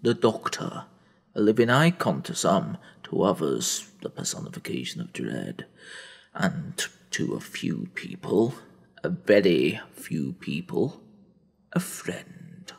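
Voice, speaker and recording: A man narrating a dramatic audio story in a theatrical, brooding manner, with heavy pauses. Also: deep voice, big pitch swings, gravelly, husky.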